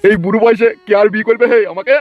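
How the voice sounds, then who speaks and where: A man playing a distraught old village husband in a comic cartoon, complaining and pleading in drawn-out wails. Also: high-pitched, steady tone, no room sound, close to an everyday voice. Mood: aggrieved. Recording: dull lo-fi sound with music behind.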